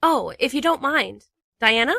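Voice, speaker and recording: A woman's voice: high voice, expressive, measured pace, vocal fry, bright, forceful tone, no echo, clean studio sound, heavily acted. An actress playing a playful, kind young woman with an enthusiastic, supportive manner in a modern radio play. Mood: eager. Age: young adult.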